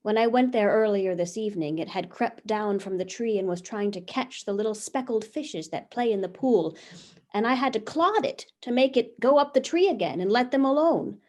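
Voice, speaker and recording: A woman's voice: medium pitch, moderate pace, a little mellow, natural voice. Woman acting as Eve, brand-new first woman, wide-eyed, chatty, diary reading, stage comedy. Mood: curious. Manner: wondering, chatty storytelling diary voice. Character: newly made Eve, curious talkative first woman. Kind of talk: stage reading of a comic play. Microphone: video call.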